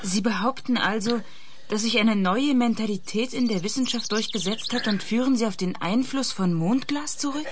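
An actress playing a calm, probing journalist in a radio drama, measured and skeptical in her questioning. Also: on the high side, slight background noise, close to an everyday voice. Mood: composed, inquisitive. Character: calm, probing female journalist interviewing a scientist. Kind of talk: naturalistic radio drama acting.

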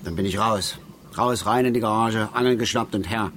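An actor playing a middle-aged father and keen angler in a radio drama, blunt and aggrieved, speaking haltingly and repeating his words. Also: on the low side, fairly bright tone, slight background noise, natural voice. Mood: agitated, urgent. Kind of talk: naturalistic German radio drama acting.

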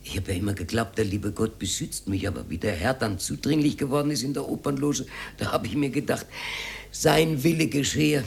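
A woman's voice: extremely low pitch, brisk, gravelly, slight background noise. An actress playing a coquettish, wistful older woman in a radio drama. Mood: wistful reminiscing. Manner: animated, humming and coaxing. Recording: roomy radio drama with music behind.

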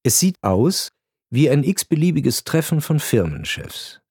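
A man reading a scripted radio narration in a grave, measured storytelling manner. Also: on the high side, sing-song, darkish tone, husky, no room sound, clean studio sound.

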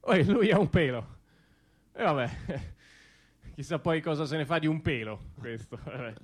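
An actor playing a neurotic, anxious everyman in a theatrical comic monologue, halting and muttering, then frantically exclaiming. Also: on the high side, animated. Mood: musing, envious. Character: neurotic, self-deluding everyman, anxious and easily queasy. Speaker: stage monologist.

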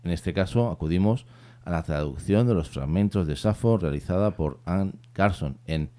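A male radio host leading an interview in a measured way, often checking in with tag questions. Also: low-pitched, mellow. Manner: measured, frequent tag questions, reads quotes aloud.

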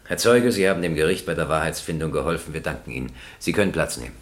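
A man's voice: very deep voice, lively intonation, fast talker. An actor playing a stern presiding judge in a German radio crime drama, formal and probing in his questioning. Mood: admonishing.

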